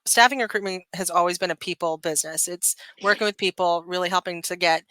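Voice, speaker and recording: A woman's voice: on the low side, quick pace, a bit bright, dry recording. A woman being interviewed as a sales manager, talking in a chatty professional way and often saying "like" and "kind of".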